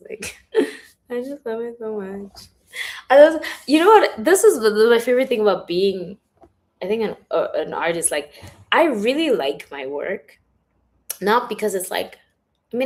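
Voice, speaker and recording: Chatty young woman, voice going hoarse. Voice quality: speaking lower than her usual bright voice. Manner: chatty, rambling, giggly, uses filler words a lot. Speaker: author hosting a livestream. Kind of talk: casual livestream chat. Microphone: phone or webcam in a livestream.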